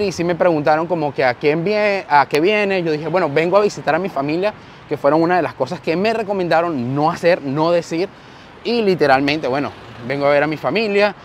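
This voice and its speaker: A young Venezuelan man talks to his camera while he walks outdoors, animated and storytelling, his voice sitting high for a man. A lapel mic keeps him close and clear, and a faint hum of traffic and street noise stays underneath. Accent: Venezuelan Spanish. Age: young adult. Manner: animated, gestures while walking and talking to camera. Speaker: vlogger. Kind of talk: walking vlog storytelling. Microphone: lapel mic on shirt, handheld camera.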